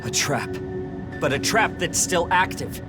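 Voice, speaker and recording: Male, high register, idealistic young game hero, challenging and defiant, music underneath. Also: animated, measured pace. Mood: indignant. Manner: indignant, challenging. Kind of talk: dramatic video game voice acting. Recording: music behind the voice.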